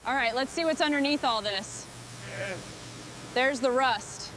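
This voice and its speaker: A woman's voice: sits high, a little slow. A woman playing a determined mechanic who leads a machinery crew, speaking in terse, focused muttering and commands. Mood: focused, appraising. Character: determined hands-on female mechanic leading a heavy machinery restoration crew. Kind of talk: scripted workplace dialogue with muttering and commands. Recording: loud machinery and vehicle noise behind.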